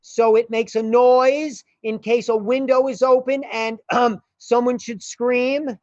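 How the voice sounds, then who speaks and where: A woman playing a cautious criminal go-between in a radio play, halting and careful, on a dull recording. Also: on the high side, flat delivery, darkish tone, close to an everyday voice. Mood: cautious, hesitant. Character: cautious, hesitant criminal go-between giving orders. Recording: dull, echoey room sound.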